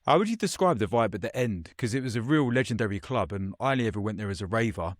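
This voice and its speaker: A man's voice: fairly low pitch, expressive, fast talker, dry recording, studio quality. A man hosting a podcast interview, chatty and slangy, asking leading questions of a fellow MC. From England.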